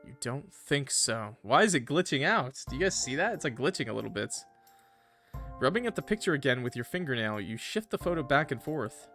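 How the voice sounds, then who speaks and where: A man's voice: medium pitch, very animated, fairly quick, pushed and bright. Man reading a game aloud, music behind. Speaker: gaming streamer. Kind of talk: reading a visual novel aloud with casual reactions.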